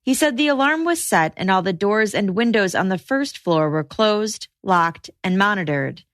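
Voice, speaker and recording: A woman reading scripted true-crime podcast narration in a measured, matter-of-fact way. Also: medium pitch, expressive, a bit bright, dry recording, studio quality.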